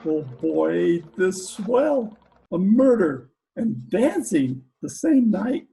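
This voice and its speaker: A man's voice: high voice, very animated, slow pace, creaky, mellow, soft background music, full character voice. A man playing a dim, lovestruck, trigger-happy gangster with slangy, uneducated talk in a 1940s-style radio comedy. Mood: eager, murderous. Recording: home video-call recording.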